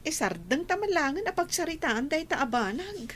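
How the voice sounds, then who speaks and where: High-voiced, firm young Filipino woman. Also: sing-song, moderate pace, fairly bright tone, slight background noise. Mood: frustrated, pleading. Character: Emma, firm young college woman refusing an old love. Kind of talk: Ilocano radio drama acting.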